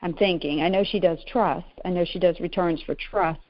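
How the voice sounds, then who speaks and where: A woman's voice: fairly low pitch, steady tone, moderate pace. A middle-aged woman caller talking casually and plainly, hesitant and often restarting her sentences as she asks questions. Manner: hesitant, self-interrupting, plain-spoken. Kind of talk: casual question-and-answer talk. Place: conference call. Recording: muffled, narrow-band conference call audio.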